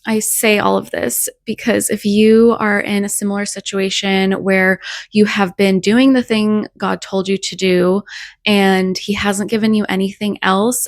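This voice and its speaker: A young woman with a scratchy, hoarse voice from a cold, talking earnestly and reflectively about faith in a casual vlog. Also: mid-range voice, dry recording. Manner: earnest, reflective, with soft laughs. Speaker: faith vlogger. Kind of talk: casual devotional talk to camera.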